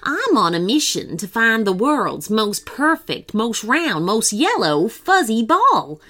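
A woman's voice: fairly high pitch, big pitch swings, slow pace, a bit bright, exaggerated voice. A woman voicing a fussy, pompous male collector in a storybook reading, with a theatrical manner.

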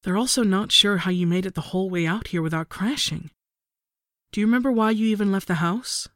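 A narrator voicing a worried middle-aged mother who speaks gently and sometimes in a whisper. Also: mid-range voice, brisk, no echo, studio quality, pitched above the performer's own voice, lightly acted. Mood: gentle.

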